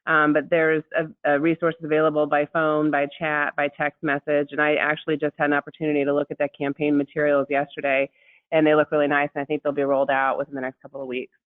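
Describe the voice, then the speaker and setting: An American woman giving an unscripted update as a health official on a conference call, explaining things with hesitant pauses. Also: sits low, monotone, no echo. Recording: thin, phone-like conference call line.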